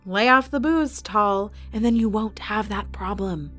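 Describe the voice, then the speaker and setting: A woman's voice: medium pitch, unhurried, a bit bright. A woman playing a composed, imperious villain who runs a fantasy fight arena in an audio drama. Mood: curt, assured. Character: cold, commanding female villain running a fantasy fight arena. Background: music.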